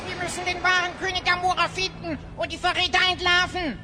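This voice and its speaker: Super high squeaky little magic sidekick, bossy, distrustful, exclaiming, fantasy radio play. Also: female voice, flat delivery, brisk, over music. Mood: urgent. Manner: scolding, exclamatory. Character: feisty distrustful small magical companion of a hero.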